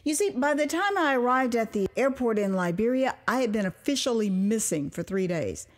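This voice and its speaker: An American woman narrating a dramatized memoir as its author, conversational and expressive, with dramatic pauses. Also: on the high side. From United States (Houston, Texas). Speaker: author and storyteller.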